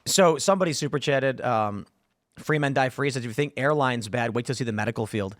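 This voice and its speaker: A man's voice: mid-range voice, fairly quick, no echo, clean studio sound. A man hosting a casual political panel show, opinionated and prone to acting out imagined exchanges. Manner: opinionated, acts out imagined dialogue. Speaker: podcast host.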